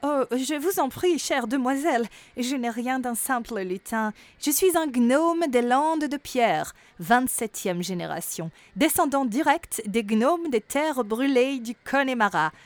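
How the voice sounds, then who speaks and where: A woman's voice: high voice, lively intonation, a little slow, hardly any pauses, no echo. A woman voicing a fussy, very polite old male gnome in a storybook reading, speaking in a pompous, genteel manner.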